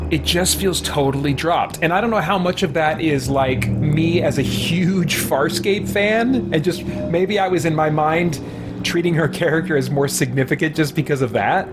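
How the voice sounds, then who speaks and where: A man giving casual, rambling and thoughtful gameplay commentary as a streamer. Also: a little high. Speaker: gaming streamer.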